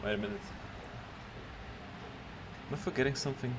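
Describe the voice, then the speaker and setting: Man, game streamer, casual reaction talk, hesitant, rambling, medium pitch, very noisy recording. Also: moderate pace. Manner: rambling, hesitant, thinking aloud. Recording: loud noise behind the voice.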